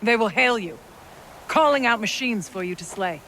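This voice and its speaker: Lady doing a solemn game chieftain, bright firm voice, faint hiss behind. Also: mid-range voice, animated, moderate pace, close to an everyday voice, low-quality recording. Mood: ceremonial. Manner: solemn, formal. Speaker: game character.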